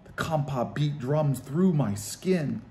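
A man voicing an eager, curious young granddaughter who narrates a storybook in an expressive, wide-eyed way. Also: fairly high pitch, slow. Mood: excited.

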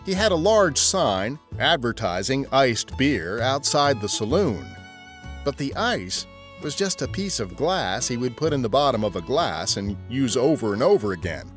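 Man narrating history with lively, swooping delivery. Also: mid-range voice, unhurried, soft background music. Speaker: podcast host and narrator.

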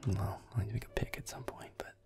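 A man playing a grumpy, sarcastic werewolf boyfriend in a gaming roleplay audio, muttering irritably with swearing in a low, breathy, gravelly voice. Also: quick pace, whispered, music in the background, natural voice. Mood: frustrated.

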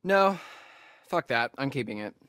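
A man's voice: mid-range voice, sing-song, a little slow, fairly bright tone, dry recording, clean studio sound. A young man with an American accent playing a sarcastic, nervy camp counselor in a video game, speaking in a hesitant, stammering way. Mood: defiant. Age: young adult. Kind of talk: naturalistic video game acting.

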